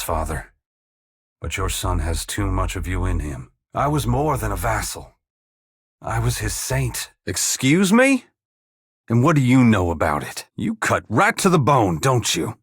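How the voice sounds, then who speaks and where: An actor playing a humble, weary dead farmer-saint in a fantasy video game, plain-spoken yet solemn, with a gravelly, husky voice. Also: male voice, lowish voice, no echo, studio quality, exaggerated voice. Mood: bitter, wounded, then puzzled, guarded. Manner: plain-spoken rustic phrasing mixed with solemn archaic oratory. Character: humble dead farmer-saint, once god-touched, wry, earnest and weary. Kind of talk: dramatic fantasy game dialogue.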